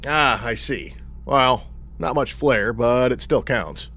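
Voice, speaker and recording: A man's voice: mid-range voice, slow, sharp tone, full character voice. A man playing a breezy, cheerfully reckless chatterer. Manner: jaunty, blasé, anecdotal. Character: breezy, cheerfully reckless, chatty regular customer named Charlie. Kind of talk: comedy audio drama acting.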